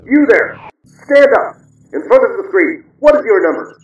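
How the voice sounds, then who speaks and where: A man's voice: sits high, expressive, normal speed, lo-fi sound. Male actor as menacing telescreen interrogator, distant, echoing, commanding, stern, radio drama, music underneath. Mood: barking, commanding.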